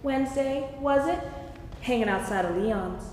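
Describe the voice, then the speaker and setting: Actress playing an arch, reproving mentor for stage drama; raised pitch, long reverb and some noise. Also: female voice, moderate pace, darkish tone, lightly acted. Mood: arch, scolding. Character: sly, scolding mentor at a 1966 basement party. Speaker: actor in a staged reading. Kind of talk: staged play reading. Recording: very echoey hall, noisy live stream.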